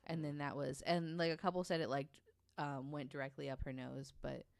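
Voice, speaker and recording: A woman's voice: low voice, level tone, brisk, creaky, no echo, studio quality. A woman telling a researched story on a podcast in a casual, conversational way, with an informal, hesitant delivery.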